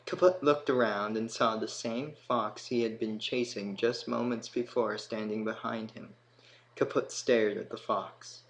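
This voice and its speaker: A man narrating a scripted fantasy story in a dramatic manner, with emphatic swells and hushed drops. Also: lowish voice, fairly even intonation, measured pace. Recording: clear background noise.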